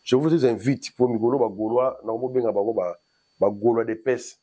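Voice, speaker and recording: A man's voice: mid-range voice, moderate pace, dark tone, slightly rough. A man from Congo, a guest musician, chatting loosely in an interview and rambling as he switches between French and Lingala. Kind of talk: casual interview chat. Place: in Paris.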